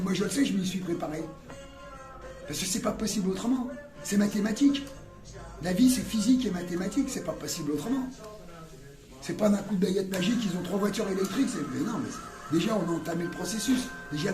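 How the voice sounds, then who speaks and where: A middle-aged man talking casually in a street interview, rambling and slangy, with many fillers and musings. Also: fairly high pitch. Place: outdoors on a town street. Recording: roomy sound with loud music behind.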